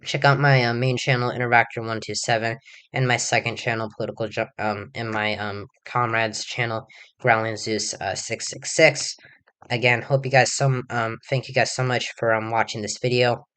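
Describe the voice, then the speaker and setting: A hoarse young man giving a casual election prediction monologue, rambling with many fillers and restarts. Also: mid-range voice, level tone, normal speed, sharp tone. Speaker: political commentator.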